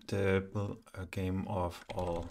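A middle-aged man thinking aloud as he presents a coding screencast, halting and muttering to himself at a computer. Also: sits low, monotone, darkish tone, a little hiss or hum. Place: small room indoors at home, at a computer. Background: keyboard typing and clicking.

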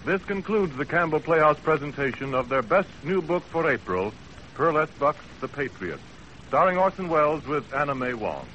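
Lively male announcer on muffled old radio. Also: on the high side, moderate pace. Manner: formal. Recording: muffled, hissy 1930s radio broadcast.

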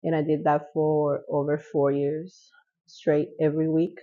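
Woman, thirties, from Costa Rica, lowish voice, muffled living-room recording, casual and laughing. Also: little pitch movement, measured pace, dark tone. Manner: chatty, laughs often, trails off. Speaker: podcast guest. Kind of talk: casual interview. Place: living room, sitting on a couch.

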